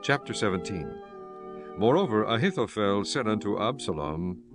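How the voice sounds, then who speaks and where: A man giving solemn, measured narration for a scripted scripture audiobook. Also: lowish voice, animated, over music.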